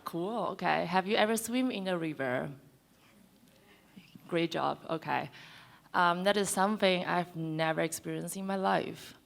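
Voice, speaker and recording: A woman's voice: lowish voice, unhurried, creaky. A woman in her twenties from Taiwan, speaking on a conference panel and persuading the audience with direct questions. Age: 20s. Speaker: panelist, co-founder. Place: conference hall.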